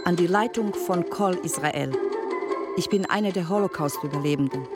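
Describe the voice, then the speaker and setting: An actress playing a Holocaust survivor reading out a letter in a radio drama, formal, earnest and reflective. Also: female voice, lowish voice, normal speed, over loud music, close to an everyday voice.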